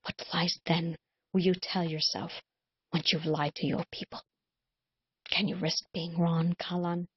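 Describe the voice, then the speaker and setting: A woman reading a quiet, weary elf king. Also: on the low side, steady tone, normal speed, slightly rough, dry recording. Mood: quiet challenge. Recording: dull lo-fi recording.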